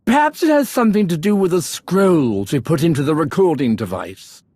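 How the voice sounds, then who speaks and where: A man's voice: on the high side, sing-song, moderate pace, a little mellow, breathy edge, no echo, heavily acted. A man playing a fussy, bookish archaeologist full of wonder in a video game, with precise, theatrical delivery. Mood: eager, musing.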